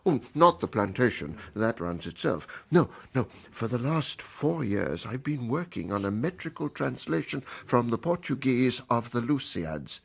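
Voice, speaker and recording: A self-important, scholarly middle-aged planter in a radio play. The voice is husky and creaky at a middle pitch, with very animated intonation. He is earnest and a little hesitant as he holds forth. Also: a little slow, hardly any pauses, heavily acted. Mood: wistful, self-important. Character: genial, bookish, self-important widowed planter and father. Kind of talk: radio drama acting.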